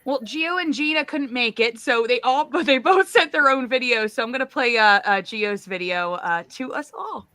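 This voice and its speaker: A woman's voice: fairly high pitch, normal speed, hardly any pauses, sharp tone, dry recording, studio quality. A woman hosting a reunion chat in an upbeat tone, guiding the conversation and introducing clips. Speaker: host. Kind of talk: interview hosting.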